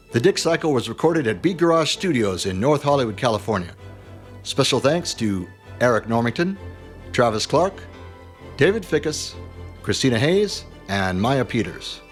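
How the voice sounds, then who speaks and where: A man announcing the closing credits of a radio play in an even, formal tone. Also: mid-range voice. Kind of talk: scripted credits reading.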